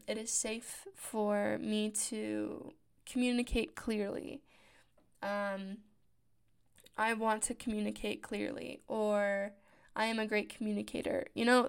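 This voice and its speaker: A woman's voice: on the high side, smooth. A woman in her late twenties, talking casually about her routines, her voice scratchy from just waking up, often correcting herself and laughing. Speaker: podcast host. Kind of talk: casual personal talk.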